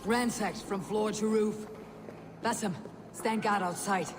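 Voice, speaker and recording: An actress playing a resolute Viking warrior, blunt and commanding, in a dramatic game scene. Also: female voice, mid-range voice, steady tone, slow, background noise. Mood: wary. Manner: commanding, plain-spoken. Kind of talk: dramatic video game acting.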